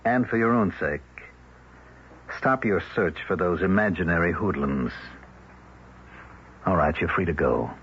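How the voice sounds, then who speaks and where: An actor playing a stern male village elder in an old-time radio drama, formal, measured and quietly menacing. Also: low-pitched, noisy background. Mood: cold, authoritative. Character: stern, controlled village elder laying down law. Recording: dull vintage radio recording.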